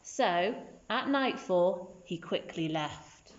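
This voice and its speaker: A woman's voice: lowish voice, expressive, slow pace. Woman reading a children's story, slightly dull sound.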